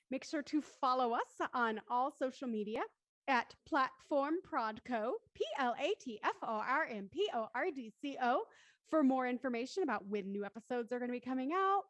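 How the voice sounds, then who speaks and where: A woman's voice: high voice, no echo. A woman hosting a casual online panel discussion. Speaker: host of a live online show.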